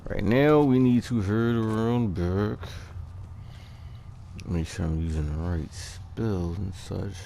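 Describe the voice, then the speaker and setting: Very deep, gravelly man, casual game commentary. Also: slow pace, dark tone, slight background noise. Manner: laid-back, slangy. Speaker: gaming host.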